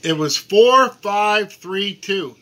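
A man in his forties playing dumb on a phone call, talking loudly and earnestly from a small room at home. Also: high voice, animated, slow pace. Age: 40s. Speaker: prank caller baiting a phone scammer. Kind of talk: deadpan prank phone call. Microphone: camera on a table, phone held to ear.

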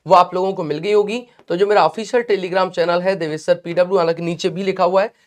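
A man's voice: high voice, steady tone, fast talker. A young man with a sore throat teaching a live online class, chatty and encouraging, mixing English phrases into his talk. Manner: chatty and encouraging, mixes English phrases, addresses students as beta. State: sore throat, clearing it often. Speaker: online exam-prep teacher.

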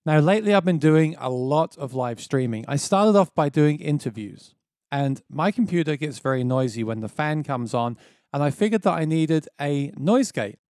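Man, thirties, upbeat gear tutorial host, slightly high pitch, close dry mic, small home studio. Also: lively intonation, normal speed, a little mellow, no echo. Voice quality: describes his own voice as quite low. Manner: enthusiastic, explains step by step. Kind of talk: casual spoken tutorial. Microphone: dynamic mic on a boom close to the face.

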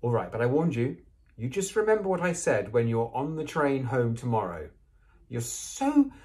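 A man's voice: mid-range voice, wildly swooping pitch, moderate pace, a little mellow, voice pushed up. A man voicing a bossy, indignant young schoolgirl with a snappish, nagging manner in a storybook reading. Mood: huffy.

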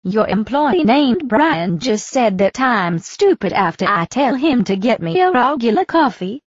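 A man putting on a high voice to play an offended woman customer in a coffee shop. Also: very animated, unhurried, no room sound, dull audio. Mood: indignant. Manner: indignant. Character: rude, huffy female coffee customer.

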